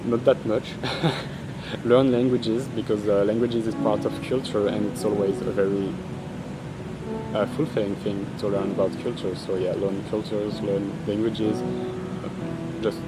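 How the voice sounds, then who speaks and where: A man's voice: fairly low pitch, level tone, measured pace, loud music behind the voice, rough recording. A young Belgian man who speaks English with a French accent, answering interview questions in a hesitant, filler-laden way with light laughs. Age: young adult. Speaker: interviewee, university student.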